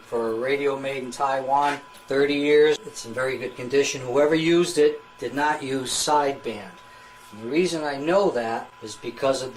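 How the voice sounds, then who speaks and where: A man's voice: medium pitch, fairly bright tone, slight background noise, poor audio. A man giving an unscripted, matter-of-fact repair tutorial, explaining each step methodically as a technician. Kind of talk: unscripted hands-on repair demonstration.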